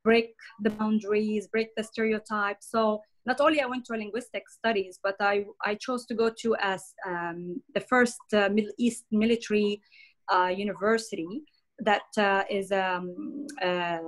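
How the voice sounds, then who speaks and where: A middle-aged woman from Jordan, a podcast guest talking through her career in a relaxed interview, pausing often with many fillers and restarts. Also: medium pitch. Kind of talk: conversational interview.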